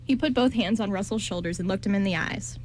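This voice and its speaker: A woman's voice: medium pitch, quick pace, a little mellow. Woman narrating on a noisy recording. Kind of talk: scripted story narration for an amateur radio play. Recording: clearly noisy amateur recording.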